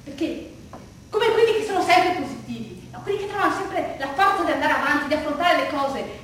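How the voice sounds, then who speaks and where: A woman performing a theatrical monologue, telling a story dramatically with halting repetitions. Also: high voice, fairly bright tone. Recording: very echoey room with faint noise.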